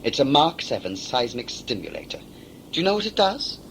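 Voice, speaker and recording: A man's voice: mid-range voice, huge pitch swings, a little fast, vocal fry, a bit bright, slight background noise, full character voice, rough recording. A man playing a smug, talkative villain in a sci-fi audio drama, a covert saboteur who is condescending and mock-polite. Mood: gloating.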